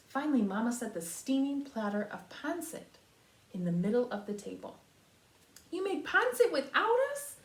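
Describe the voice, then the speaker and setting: Lady reading a kids story like a kindergarten teacher, voice goes up and down a lot, bit echoey. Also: mid-range voice. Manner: animated, pausing to talk to young listeners. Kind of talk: expressive children's storybook read-aloud. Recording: roomy echo.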